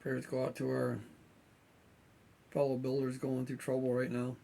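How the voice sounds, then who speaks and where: A man, hosting his model-building channel in a relaxed, rambling way, slightly hoarse as he is losing his voice. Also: medium pitch, normal speed, a little hiss or hum. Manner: relaxed, rambling, many fillers. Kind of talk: casual chat to viewers.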